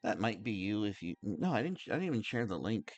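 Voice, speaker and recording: A man hosting a game livestream, chatting casually in a halting, rambling way. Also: lowish voice, big pitch swings. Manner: halting and rambling, many restarts. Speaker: game streamer, host.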